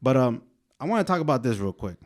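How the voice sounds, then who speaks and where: Young dude on a podcast, kinda hoarse, chill rambling chat, normal pitch. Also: male voice, big pitch swings, quick pace, darkish tone. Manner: laid-back, rambling, drops casual swearing. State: slightly hoarse from a sore throat. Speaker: podcast co-host. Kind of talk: casual chat.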